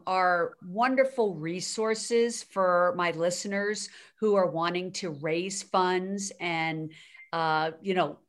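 A woman's voice: medium pitch, a bit bright. A woman hosting a podcast interview, talking in an upbeat, enthusiastic way and cutting in to stress her guest's points. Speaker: podcast host. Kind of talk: casual interview chat.

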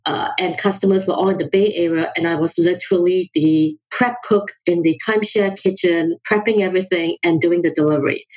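Brief: middle-aged female founder from Singapore, lowish husky voice, measured, laughs easily. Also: a little mellow, poor audio. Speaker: founder and CEO guest. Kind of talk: remote podcast interview.

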